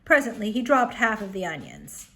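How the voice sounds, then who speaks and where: A woman storyteller reading a children's tale aloud expressively, with dramatic pauses and emphasis. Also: mid-range voice, wildly swooping pitch, creaky, pushed and bright.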